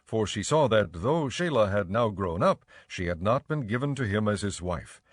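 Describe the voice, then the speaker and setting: A man narrates scripture from a script in a measured, solemn way. His voice is fairly low, and the sound is dry and close. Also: animated, no room sound.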